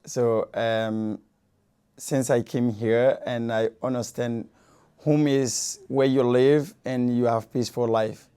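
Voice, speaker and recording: A man from Guinea speaking, in an earnest and simple way. His voice is smooth and resonant, with a fairly flat, even delivery and a mellow tone. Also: medium pitch, takes it slowly. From Guinea, West Africa. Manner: earnest, simple phrasing, fillers. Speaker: local resident, fashion designer. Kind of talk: personal account.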